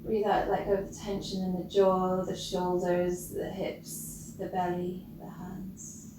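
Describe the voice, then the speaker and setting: A woman leading a guided breathing meditation as a teacher, gentle and instructional, leaving long pauses between short phrases. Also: lowish voice, monotone. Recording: roomy, distant mic with loud background noise.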